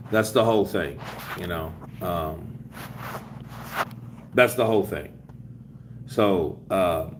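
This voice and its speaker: A man's voice: on the low side, noisy background. An American man hosting a casual live-stream boxing chat, talking loosely and repeating himself to make his point. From United States. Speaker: boxing commentary host reading live chat. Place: after leaving the gym.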